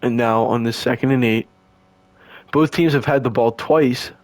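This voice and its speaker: A young man, hoarse and losing his voice, doing casual, slangy play-by-play of a video game football match. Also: mid-range voice, moderate pace, no room sound. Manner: excitable, slangy, stumbles and restarts. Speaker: play-by-play commentator.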